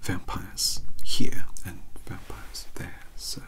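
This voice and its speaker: A man's voice: slow pace, lightly acted. Whispering, bookish young British man acting as a mentor. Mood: hesitant, polite. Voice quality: breathy, whispery. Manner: scholarly, formal, hesitant, wordy. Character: bookish, polite, hesitant young British male occult mentor. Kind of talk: soft-spoken ASMR roleplay.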